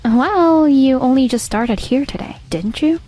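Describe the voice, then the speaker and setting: Woman acting firm, composed team leader, higher voice. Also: expressive, unhurried, mellow, faint music behind. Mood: firm, challenging. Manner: pointed, coolly authoritative. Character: composed, firm female team leader defending her husband. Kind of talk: scripted manga dub acting.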